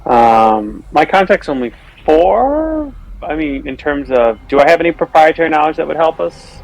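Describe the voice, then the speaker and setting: A man's voice: fairly high pitch, steady tone, moderate pace, gravelly, no echo, poor audio. A man taking part in a tabletop game as a player, talking casually.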